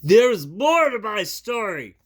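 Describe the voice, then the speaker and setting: A man voicing a worried big elephant from a children's picture book, slow, loud and emphatic. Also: sits high, sing-song, voice pushed up, heavily acted. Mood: storytelling. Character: worried big elephant, storybook best friend.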